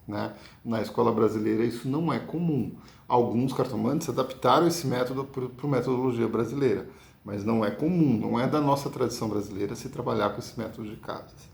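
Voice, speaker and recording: A Brazilian-accented man gives a card-reading lesson with a gravelly edge to his mid-pitched voice. He explains patiently and keeps checking in with the listener. The recording sounds echoey and roomy. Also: a little mellow. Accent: Brazilian Portuguese. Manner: patient, ends points with tag questions. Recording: echoey room.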